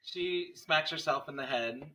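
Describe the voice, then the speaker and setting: A man's voice: a little high, measured pace, bright, forceful tone, poor audio. A man reading scene headings and stage directions as narrator at a horror script table read.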